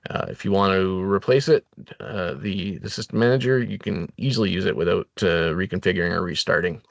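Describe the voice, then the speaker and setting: A man giving an explanatory product training presentation as a webinar presenter, with halting phrasing and frequent filled pauses. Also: low voice, creaky, fairly bright tone, no echo, muffled recording.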